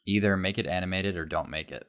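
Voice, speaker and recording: A man's voice: sits low, fast, fairly bright tone. A man co-hosting a podcast, chatting casually with wry, joking remarks. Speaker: podcast co-host. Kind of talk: casual comedic banter.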